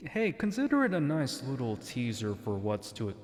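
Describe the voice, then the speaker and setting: A man's voice: medium pitch, wildly swooping pitch, normal speed, a little mellow, in a large hall. A man hosting a casual ASMR livestream, chatting to his viewers into a close studio microphone. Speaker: livestream host and roleplayer. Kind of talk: casual ASMR stream chat. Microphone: close studio dynamic microphone.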